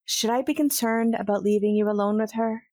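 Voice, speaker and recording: A woman voicing a measured, grave female Jedi leader in an audio drama. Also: fairly high pitch, mellow, no echo, studio quality, lightly acted.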